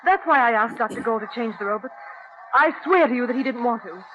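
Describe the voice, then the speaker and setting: An actress playing an anguished, idealistic wife pleading emotionally in an old-time radio drama. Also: female voice, a little high, expressive, fast, lightly acted. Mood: pleading, distressed. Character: anguished, idealistic wife confessing her deed. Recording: muffled noisy old radio drama recording.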